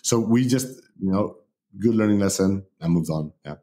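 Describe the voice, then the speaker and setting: Spain-born man, relaxed interview talk. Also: deep voice, moderate pace. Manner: relaxed, many fillers like "you know". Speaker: guest, company founder.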